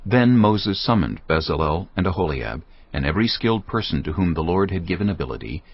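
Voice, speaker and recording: A man's voice: low voice, very animated, brisk, breathy edge. A man reading scripted scripture narration as the narrator of a dramatized audio Bible. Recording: slightly dull recording over music.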